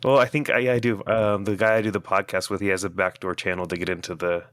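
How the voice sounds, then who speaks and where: A man's voice: sits low, little pitch movement, quick pace, fairly bright tone, smooth, no echo, studio quality. A man speaking casually as a podcast panelist about the content he makes. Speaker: podcast co-host and content creator. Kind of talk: casual roundtable chat.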